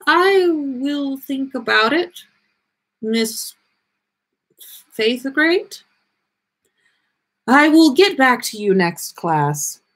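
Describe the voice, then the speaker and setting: A woman voicing a dull, absent-minded old male ghost professor in a storybook, speaking in a halting, formal, ponderous way. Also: sits high, big pitch swings, a bit bright, pitched above the performer's own voice, heavily acted. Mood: reserved, formal.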